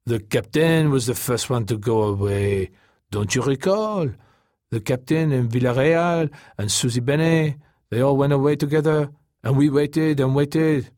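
A man voicing a soft, drink-addled, genial man who talks patiently and placidly in an audiobook story. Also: mid-range voice, unhurried, no room sound, clean studio sound, pitched above the performer's own voice, lightly acted.

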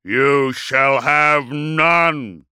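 A man voicing a proud, wrathful ancient warrior entombed in a war machine, booming and roaring slowly in an audiobook. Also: animated, sharp tone, no echo, clean studio sound, full character voice. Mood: roaring defiance. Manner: slow, declamatory, indignant. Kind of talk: audiobook character acting.

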